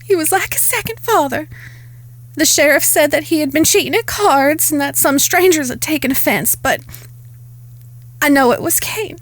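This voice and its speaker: A woman's voice: sits high, normal speed, close to an everyday voice. A woman playing a proud, wronged Old West ranch woman with a Southern American accent in a Western audio drama. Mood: tearful, distressed.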